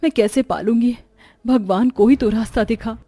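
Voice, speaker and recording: Woman acting a desperate widowed mother, higher voice. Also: normal speed, mellow, no room sound, studio quality. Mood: desperate worry. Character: grieving, desperate poor widowed mother of five.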